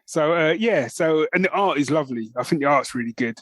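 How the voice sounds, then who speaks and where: A man's voice: on the high side, expressive, a little fast, no room sound. A man co-hosting a podcast, chatting casually and enthusiastically in loose, conversational phrases. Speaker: podcast host. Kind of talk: casual chat about comics.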